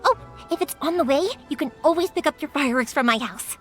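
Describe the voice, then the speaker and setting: A woman's voice: high-pitched, quick pace, lightly acted. A woman voicing a cheerful young fireworks maker in a video game, upbeat, chatty and caring. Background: game background music.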